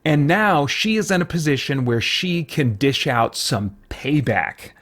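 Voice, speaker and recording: A man's voice: fairly high pitch, sing-song, a little slow, a bit bright. A man hosting a review show, talking casually in unscripted commentary and searching for his words as he thinks aloud. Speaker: host of a comic book review show.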